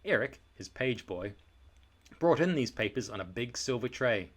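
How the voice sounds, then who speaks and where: A man narrating a children's storybook aloud in an expressive, scripted style, with dramatic, deliberate emphasis. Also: mid-range voice.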